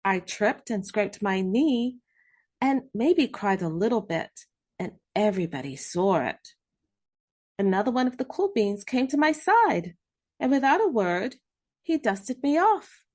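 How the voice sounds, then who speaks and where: A woman narrating a children's storybook, reading expressively with drawn-out emphasis and dramatic pauses. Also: medium pitch, very animated, a little mellow. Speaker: read-aloud host narrating children's books. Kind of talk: scripted children's storybook narration in first person.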